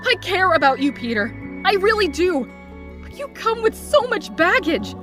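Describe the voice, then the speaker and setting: A woman playing a young, worried friend of a superhero in a comic dub, with an emotional, dramatic delivery. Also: very high voice. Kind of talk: melodramatic comic dub acting.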